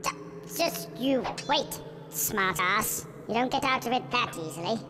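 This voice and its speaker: A man's voice: very high voice, expressive, a little slow, background noise. A man voicing a short, put-upon Grim Reaper in a video game, British, irritable and sardonic as he grumbles and swears. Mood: weary, exasperated. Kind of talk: video game cutscene dialogue.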